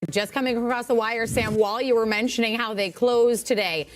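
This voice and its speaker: A woman's voice: on the high side, steady tone, a little fast. A woman broadcasting financial news live, ad-libbing as she relays figures just coming in. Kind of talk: live unscripted breaking earnings report.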